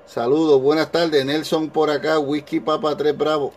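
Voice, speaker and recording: A man's voice: fairly high pitch, level tone, moderate pace, very noisy. A man with a Puerto Rican accent, talking cordially in a casual ham radio exchange and repeating his phrases. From Puerto Rico. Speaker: amateur radio operator.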